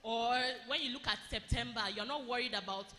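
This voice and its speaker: A woman giving a teaching talk at a women's retreat, speaking in short phrases with pauses for an interpreter. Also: a little high, sharp tone. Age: adult, married since 2016. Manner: measured, pausing phrase by phrase for the interpreter. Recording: echoey hall, faint ambient noise.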